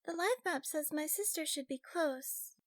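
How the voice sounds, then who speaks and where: A woman's voice: high-pitched, a little slow. A woman voicing a playful, teasing young fox girl mage in a fantasy audiobook. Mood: cheerful. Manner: perky, teasing. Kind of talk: audiobook character acting.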